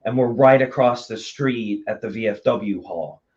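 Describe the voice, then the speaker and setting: A man with a lowish voice in dull, lo-fi sound. He speaks earnestly and a bit haltingly, as a member of a theater company giving a casual interview. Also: darkish tone.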